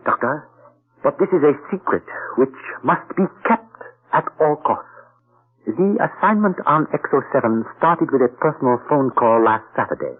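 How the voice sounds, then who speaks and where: An actor playing a calm, courteous detective narrating his own case in an old radio drama. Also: medium pitch. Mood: polite. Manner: polite, measured narration. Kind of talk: 1940s radio acting. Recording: thin, phone-like old radio recording.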